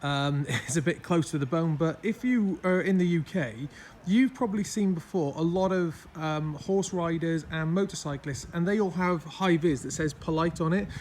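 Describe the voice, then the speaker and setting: A hoarse man, chatting casually in a rambling, self-mocking way as he walks through his car for a vlog. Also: a little high, normal speed, a little mellow, a little hiss or hum. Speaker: car vlogger.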